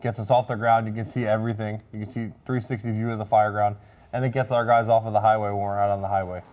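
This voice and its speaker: A man's voice: lowish voice, little pitch movement, fast talker, a little mellow. A plain-spoken man, a fire officer explaining casually in an interview.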